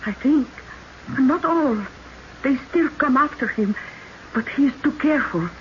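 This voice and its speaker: An actress playing a submissive Mexican wife of the old West, speaking haltingly with a Mexican accent in a radio drama. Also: female voice, high-pitched, lively intonation. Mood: hesitant, fearful. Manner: hesitant, halting speech. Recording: muffled old radio broadcast, faint noise.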